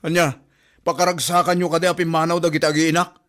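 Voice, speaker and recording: A man's voice: a little high, level tone, fast, a bit bright, natural voice. A man playing an exasperated adult son who scolds his mother with reproachful questions in an amateur comedy audio drama.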